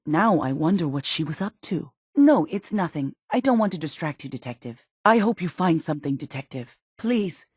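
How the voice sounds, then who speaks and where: An actress playing a worried, protective middle-aged mother in a post-apocalyptic video game, speaking earnestly and emotionally, with halting phrases. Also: low-pitched, big pitch swings, husky, no room sound, studio quality, exaggerated voice. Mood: subdued, worried. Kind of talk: dramatic video game voice acting.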